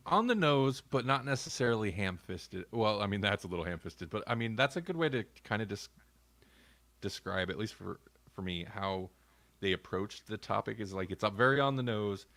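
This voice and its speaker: A man's voice: fairly low pitch, animated, moderate pace, fairly bright tone, dry recording. A man co-hosting a podcast, talking casually in a rambling, self-interrupting way. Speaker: podcast co-host. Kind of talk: casual review chat.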